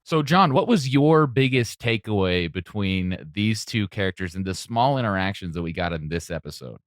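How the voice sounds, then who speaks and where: A man hosting a podcast, putting questions to guests in a relaxed, conversational way. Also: on the low side, normal speed, no room sound, clean studio sound. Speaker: podcast host. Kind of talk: conversational interviewing.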